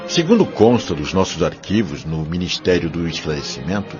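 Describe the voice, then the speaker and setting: A man's voice: low-pitched, animated, moderate pace. A man with a Brazilian accent playing a wise, benevolent spirit mentor in an audio drama, teaching solemnly in formal words. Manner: solemn, didactic, formal diction. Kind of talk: audio drama dialogue, explanatory. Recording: dull lo-fi audio with music behind.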